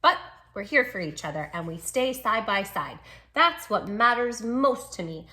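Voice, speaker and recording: A woman's voice: mid-range voice, expressive, a little slow, bright, forceful tone. A woman voicing a sweet, plucky little male dachshund who tells his own storybook tale with earnest, dramatic emphasis. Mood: plucky, reassuring. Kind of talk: children's storybook read-aloud.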